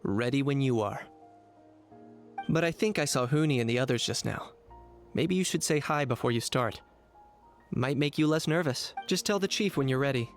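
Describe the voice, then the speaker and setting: Composed young male game warrior male actor, measured, instructive, middle register. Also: medium pitch, animated, faint music behind, natural voice. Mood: calm, instructive. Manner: matter-of-fact, measured, instructive. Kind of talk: video game character acting.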